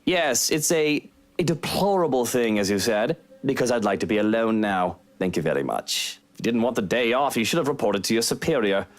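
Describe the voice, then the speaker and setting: A woman's voice: a bass voice, expressive, fairly quick, pitched below the performer's own voice. A woman voicing a haughty, wealthy estate master in a visual novel, speaking in a formal, curt and imperious way. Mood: irritated, haughty. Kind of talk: visual novel character read-aloud.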